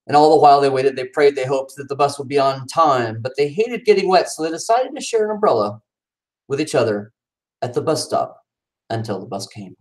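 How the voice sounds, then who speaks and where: A man's voice: lively intonation, a little fast, poor audio. A man at home chatting casually about his cat, then reading his own poems aloud with swooping, dramatic delivery. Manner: casual aside then dramatic poetry reading with pitch swings. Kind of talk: casual chat and poetry reading.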